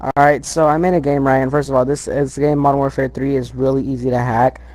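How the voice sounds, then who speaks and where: A man's voice: medium pitch, little pitch movement, fairly quick, darkish tone, no room sound, low-quality recording. Young man, very dry, casual prankster. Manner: slangy, feigning earnestness. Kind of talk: casual prank phone call.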